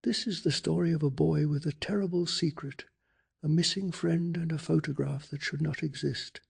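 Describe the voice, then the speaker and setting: A man softly narrating a bedtime story in a calm, hushed ASMR style, telling it with deliberate drama. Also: fairly high pitch. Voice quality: soft, breathy.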